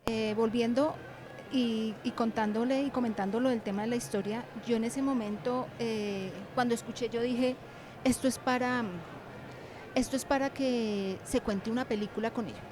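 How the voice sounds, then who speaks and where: A woman answering interview questions as an author and teacher, reflective and halting, in short repeated phrases. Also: on the high side, fairly even intonation, background noise.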